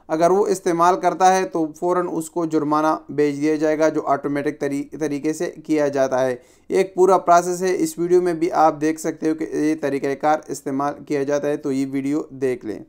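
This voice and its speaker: A man hosting a news channel, calmly explaining a topic in a voice-over with occasional small restarts. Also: on the high side, clean tone. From South Asia.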